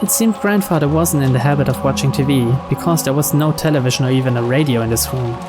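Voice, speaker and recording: A man's voice: mid-range voice, fast. A man reading a visual novel aloud as scripted story narration. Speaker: video game reader. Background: game background music.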